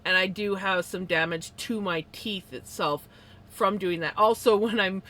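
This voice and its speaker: A woman's voice: mid-range voice, measured pace, a bit bright. A woman live streamer with a slight lisp, chatting casually and rambling to her viewers with many fillers and little laughs.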